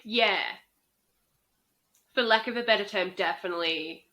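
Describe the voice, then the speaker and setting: A woman's voice: medium pitch, normal speed, pushed and bright. A woman in her thirties co-hosting a podcast, joking and laughing often in casual chat. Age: 30s. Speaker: podcast co-host.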